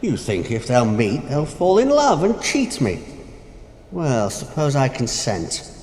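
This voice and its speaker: An actor playing Death as an ageing, wry gamester in an audio drama, pompous and teasing in manner. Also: male voice, mid-range voice, huge pitch swings, takes it slowly, darkish tone. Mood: sly, mocking. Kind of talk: theatrical audio drama acting. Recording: noisy, echoey hall with long reverb.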